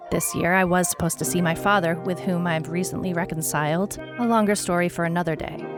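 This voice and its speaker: A woman playing a wry, world-weary private detective, narrating her case log in a dry, deadpan noir style. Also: medium pitch, natural voice. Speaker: narrator, lead actor.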